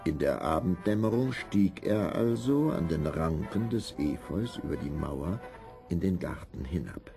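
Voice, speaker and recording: Deep, gravelly male storyteller. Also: over music. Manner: measured, expressive storytelling. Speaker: narrator. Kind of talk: scripted fairy-tale narration.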